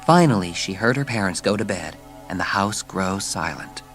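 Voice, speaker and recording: A man narrates a dramatised children's audiobook in a fairly low voice. His storytelling is measured and dramatic. Gentle music sits behind the narration. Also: animated.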